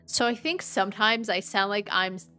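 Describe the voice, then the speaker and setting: A woman in her thirties streaming a game, with a dry, sometimes monotone-sounding voice, chatting and rambling. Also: mid-range voice, normal speed. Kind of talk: casual gameplay commentary. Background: faint game music.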